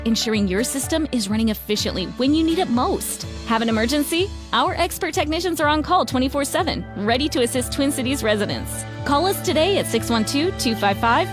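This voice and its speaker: A woman with an American accent reads a scripted radio commercial. Her voice is notably high. Clear music plays behind her. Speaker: commercial announcer. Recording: prominent music bed in radio ad.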